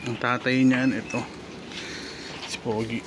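A man's voice: medium pitch, flat delivery, unhurried. Man casually narrating, very noisy outdoor recording. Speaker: farm vlogger, goat farmer. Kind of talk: casual narration of a farm walk-around. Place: outdoors on a rural backyard goat farm. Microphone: handheld phone behind the camera. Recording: noisy outdoor phone recording, farm animals. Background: birds and animals, outdoor farm ambience.

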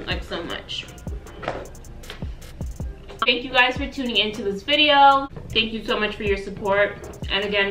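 A woman's voice: medium pitch, fairly bright tone, echoey room, rough recording. A young woman in her twenties chatting casually while eating on camera in her living room, with lots of "like". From United States. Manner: chatty, eating between sentences, frequent "like". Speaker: YouTuber. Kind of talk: casual mukbang chat. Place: living room, seated on floor by sofa. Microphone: camera on tripod at a distance.

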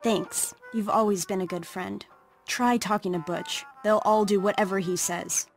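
A woman's voice: medium pitch, fairly even intonation, moderate pace, faint music behind, lightly acted. A woman voicing an exasperated, feisty teenage girl in a video game, indignant and earnest in her delivery. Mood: upset, pleading. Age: young adult. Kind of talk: video game dialogue acting.

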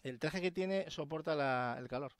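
A man's voice: fairly high pitch, moderate pace, smooth. A man from Spain with a Castilian accent gives casual gameplay commentary, grumbling and swearing in exasperation. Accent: Castilian Spanish. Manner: foul-mouthed, exasperated grumbling. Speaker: gaming video host. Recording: noisy, game ambience behind.

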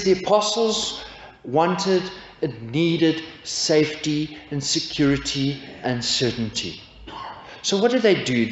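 A man in his forties preaching a sermon from a pulpit, asking his congregation rhetorical questions in a measured way. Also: on the high side. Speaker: pastor. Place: church, indoors at a pulpit. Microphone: pulpit stand mic. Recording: echoey church, dull lo-fi sound.

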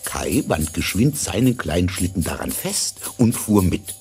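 A man narrating a scripted fairy tale in a dramatic, deliberate storytelling manner. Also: low-pitched, sing-song, creaky, darkish tone, soft background music.

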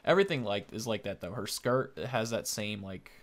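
A man's voice: lowish voice, lively intonation, moderate pace, slight background noise. A man in his thirties giving casual, enthusiastic, informal gameplay commentary as a video creator. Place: small indoor room at home, on webcam.

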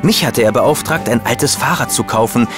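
An actor playing a detective's loyal assistant and chronicler in a German audio drama. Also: male voice, mid-range voice, normal speed, music in the background, lightly acted. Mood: narrating. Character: loyal male detective's assistant and chronicler, eager, slightly baffled.

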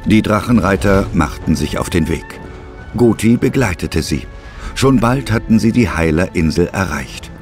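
A man's voice: deep voice, lively intonation, normal speed, darkish tone, faint music behind. A man narrating an adventure story in a scripted storytelling style.

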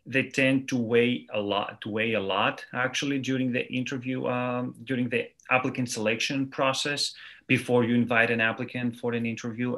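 A man's voice: mid-range voice, fairly bright tone. A man in his thirties from Greece, a surgical resident, explaining things earnestly with many ums and you-knows over a video call. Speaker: neurosurgery resident guest. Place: small room at home, indoors. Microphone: video call webcam mic.

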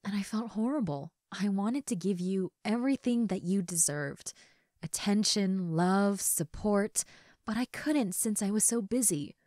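A woman acting a tender, regretful young substitute teacher in an intimate roleplay monologue, speaking hesitantly with soft sighs on a close mic. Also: mid-range voice, a little slow, dry recording, lightly acted. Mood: guilty, earnest. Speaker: roleplay audio voice actress. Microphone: close studio mic, dry.

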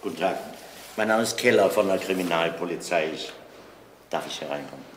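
An actor playing a courteous, methodical police chief inspector in a radio crime play, questioning step by step. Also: male voice, deep voice, a little fast, a little hiss or hum, close to an everyday voice. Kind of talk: German radio crime drama acting.

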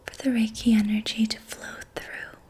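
A young woman whispering breathily in a soothing, gentle ASMR style as she guides an energy healing session. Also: fairly high pitch, flat delivery, moderate pace. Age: young adult. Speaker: ASMR reiki practitioner. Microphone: close mic.

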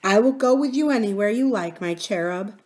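A woman's voice: medium pitch, expressive, moderate pace, darkish tone, lightly acted. A woman voicing a fussy motherly nurse who scolds and takes offence easily. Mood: tender. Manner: huffy, scolding.

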